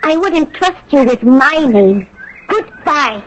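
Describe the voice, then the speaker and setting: A woman's voice: sits high, animated, slow pace. An actress playing a proud, guarded young French woman in a radio drama, cool and challenging. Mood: defiant. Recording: muffled old radio broadcast with clear noise.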